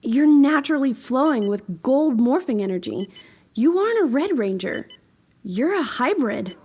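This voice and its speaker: A woman's voice: sits high, very animated, normal speed, a little mellow, husky, exaggerated voice. A woman playing an anxious young scientist. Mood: worried.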